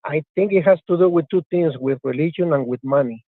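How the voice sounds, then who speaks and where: A man's voice: fairly high pitch, brisk, no echo. A man calling in to a radio show, offering his view hesitantly and searching for words. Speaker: caller. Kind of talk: phone call-in debate. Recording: tinny phone line.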